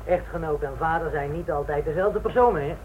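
A man's voice: a little high, a little fast, darkish tone, highs cut off, natural voice. An actor playing a cocky young male police trainee in a Dutch radio play, forward and politely insolent. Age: young adult. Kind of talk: Dutch radio drama acting. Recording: thin, noisy old radio recording.

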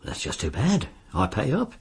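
An actor playing a suave, sly disbarred lawyer in a radio drama, with a British accent and an insinuating, politely formal way of talking. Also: male voice, lowish voice, wildly swooping pitch, moderate pace, husky, exaggerated voice. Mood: veiled menace. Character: suave, sly disbarred lawyer arranging sinister bets on deaths.

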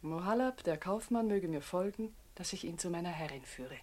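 An actress playing an enigmatic maidservant carrying a message in a German radio play, measured and formal. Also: female voice, on the low side, expressive, darkish tone. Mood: enigmatic, luring. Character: enigmatic maidservant, messenger of her mistress.

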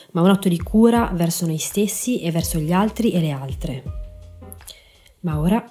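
A woman's voice: low voice, dark tone. A woman narrating a scripted podcast as host, in an informal, explanatory manner. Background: faint background music.

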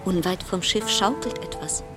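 An actress playing a haunted woman ship passenger in a literary radio drama monologue, lyrical and measured, repeating her phrases. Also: medium pitch, loud music behind the voice, natural voice. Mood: hushed, wistful. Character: haunted woman passenger recalling a deadly cruise ship voyage.

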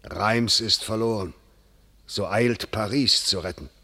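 An actor playing a proud, bitter English general dying on a medieval battlefield, declaiming classical German verse solemnly. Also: male voice, fairly low pitch, measured pace, sharp tone, heavily acted. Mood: desperate, proud.

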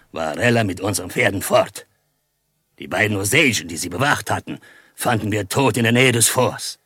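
An actor playing a proud, solemn chief held prisoner in a western radio drama, speaking formally and with measured gravity. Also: male voice, deep voice, sharp tone, husky, dry recording, studio quality, heavily acted. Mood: grave, bitter. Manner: formal, measured, speaks of himself in third person.

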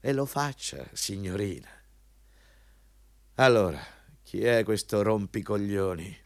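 An actor playing a cynical, vulgar, bullying company executive with a scornful, overbearing manner in a radio drama. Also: male voice, lowish voice, animated, slow pace, no room sound. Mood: irritated, bossy.

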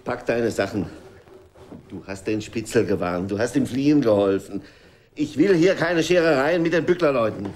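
A man playing a blunt employer, matter-of-fact, mid pitch, faint hiss. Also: expressive, moderate pace, a little mellow, natural voice. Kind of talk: radio drama acting.

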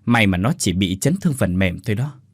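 Guy playing a grumpy dad, snaps fast, husky, lively pitch. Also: no echo, clean studio sound. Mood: gruff. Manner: snappish, quick retorts. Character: gruff irritable older father.

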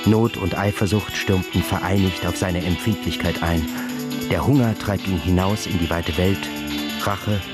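A man with a raspy voice giving formal, deliberate literary narration in a dramatic radio play. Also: low voice. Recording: studio radio play with music underneath.

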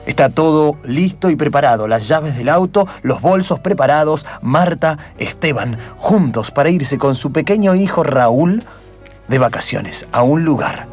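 A man's voice: a little high, animated, a little slow, darkish tone, soft background music. A man with an Argentine accent narrating an improvised radio play in a dramatic storytelling style.

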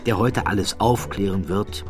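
Brief: male narrator, very low voice, grave measured delivery, faint music underneath. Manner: measured, grave storytelling with hushed passages. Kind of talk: scripted documentary narration.

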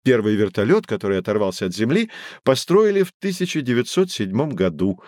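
A man's voice: medium pitch, big pitch swings, a little fast, darkish tone, no room sound, clean studio sound, lightly acted. A man playing a learned, patient guide who explains things to children in formal, didactic phrases in an educational audio drama. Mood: explanatory.

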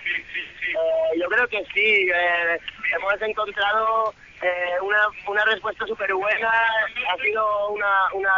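Guy from barcelona on a live phone interview, sharp forceful voice, earnest n repeats words, muffled street line. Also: high-pitched, little pitch movement, slow pace, slight background noise. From Barcelona, Spain. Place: outdoors in a busy city square.

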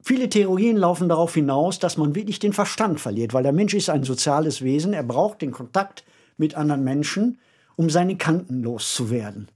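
A man's voice: a little high, animated, normal speed, a little mellow, breathy edge. A man co-hosting a conversational discussion, speaking in an emphatic, reflective storytelling way. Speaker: podcast co-host and author. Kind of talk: conversational discussion of a radio play.

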